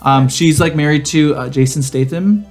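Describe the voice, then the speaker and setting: A man with a slightly high voice tells personal stories in a casual chat. His manner is campy and sassy, peppered with slangy asides, and there is some music in the recording. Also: normal speed, darkish tone. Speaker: podcast co-host. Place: home garage studio.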